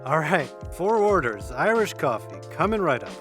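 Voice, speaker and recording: A man's voice: fairly high pitch, big pitch swings, moderate pace. A man playing an easygoing, sceptical young housemate, casual and lightly wry, in naturalistic audio drama. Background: music.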